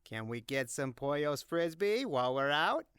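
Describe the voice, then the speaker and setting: A man's voice: on the high side, big pitch swings, moderate pace, fairly bright tone, clear, resonant tone, dry recording, clean studio sound. A man playing a casual, nosy, slightly dim gang enforcer who rambles in an audio drama. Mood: curious, awkward. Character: laid-back, nosy, dim-witted gangland enforcer.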